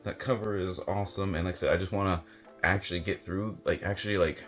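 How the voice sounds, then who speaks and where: A man's voice: low voice, fairly even intonation, fast talker, faint music behind. A man chatting casually and unscripted as a book reviewer, rambling and hesitant with lots of filler words. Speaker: book reviewer and video host. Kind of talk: casual unscripted chat to camera about books.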